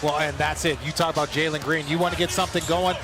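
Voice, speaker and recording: A man's voice: a little high, steady tone, fast. A man giving explanatory, conversational basketball analysis as a color commentator. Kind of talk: analytical live sports commentary. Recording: very noisy game broadcast audio.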